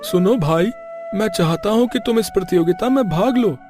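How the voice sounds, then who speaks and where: A high-pitched village oil-presser, acted by a man, chatty and plain-spoken, talks in a familiar, exclamatory way. It is cartoon story dialogue with music clearly behind him. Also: normal speed, lightly acted. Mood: earnest.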